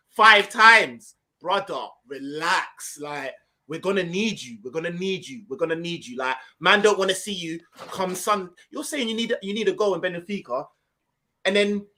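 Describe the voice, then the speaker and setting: A man's voice: high voice, sharp tone, slight background noise. A young man, chatting animatedly about football as a podcast co-host, full of slang and repeating himself for emphasis. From Ghanaian heritage. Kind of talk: casual football chat.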